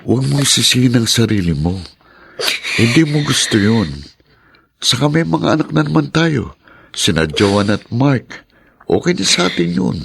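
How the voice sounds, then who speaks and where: A man playing a loving, troubled young husband in a radio drama, gentle and reassuring. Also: medium pitch, sing-song, moderate pace, creaky, pauses often, a little hiss or hum.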